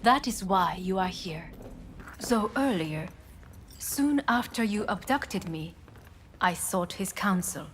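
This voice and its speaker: An actress playing a composed, dutiful corporate heiress in a video game, guarded and formal, with precise, restrained phrasing. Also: female voice, mid-range voice, expressive, slow, a little hiss or hum. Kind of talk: dramatic video game dialogue. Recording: music behind the voice.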